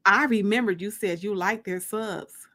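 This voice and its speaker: A woman's voice: medium pitch, normal speed, fairly bright tone, natural voice. Woman reading as candid young male suitor. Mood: friendly, attentive.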